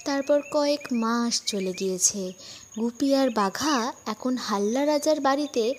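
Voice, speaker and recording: A woman's voice: fairly high pitch, unhurried, slight background noise. A woman narrating a scripted children's folk tale as a storyteller for an audiobook.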